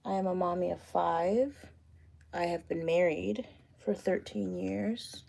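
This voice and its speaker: A woman in her late thirties chatting casually on a phone livestream while doing her hair, relaxed and thinking aloud. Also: on the low side, slow, vocal fry. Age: 30s. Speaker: realtor livestreaming a Q and A. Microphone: phone camera.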